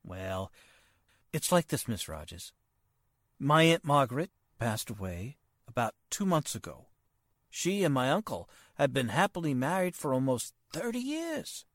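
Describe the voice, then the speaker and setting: A man playing a polite, hesitant, worried nephew in 1940s radio drama style, earnest and formal, halting as he speaks. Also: medium pitch, sing-song, dry recording, clean studio sound.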